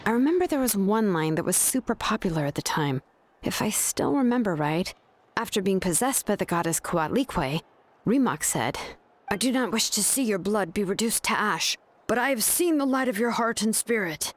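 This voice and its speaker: A woman's voice: medium pitch, lively intonation, moderate pace, lightly acted. A woman playing an easygoing, patient young woman in a video game, speaking casually and matter-of-factly. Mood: storytelling. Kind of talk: video game character dialogue. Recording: game music behind the voice.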